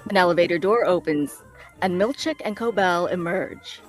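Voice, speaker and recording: A woman's voice: fairly low pitch, normal speed, faint music behind. A woman narrating stage directions in a scripted table read.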